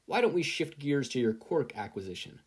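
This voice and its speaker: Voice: mid-range voice, very animated, moderate pace, husky, pitched above the performer's own voice. A performer voicing a hearty, fatherly older male superhero mentor in an audiobook style, proud and declamatory. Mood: proud, encouraging. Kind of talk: audiobook-style character acting.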